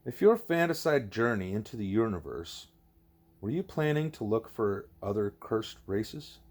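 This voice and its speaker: A man voicing a wise, patient male teacher in an audiobook, speaking in a deliberate, formal, explanatory way. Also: on the low side, expressive, a little mellow. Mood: patient, instructive. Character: wise, patient teacher creature Ishmael, male, measured and didactic.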